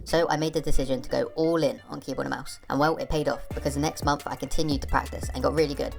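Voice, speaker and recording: A woman's voice: sits low, fairly even intonation, fast talker, creaky, darkish tone, faint music behind. A woman narrating a gaming video as a scripted voice-over.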